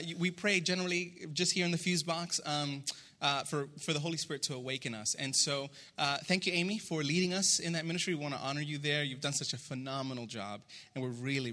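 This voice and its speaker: A man's voice: on the high side, fast talker, bright, forceful tone. A man hosting a casual, encouraging live interview at a church gathering. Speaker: church host interviewing a member.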